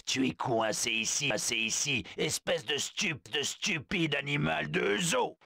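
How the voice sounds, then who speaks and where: Gravelly, bossy zoo guard, husky edge, loud and cocky. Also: male voice, a little high, measured pace, heavily acted. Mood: gloating. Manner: blustering, gloating, barking orders. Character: blustering male zoo guard with the keys. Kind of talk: video game dubbing.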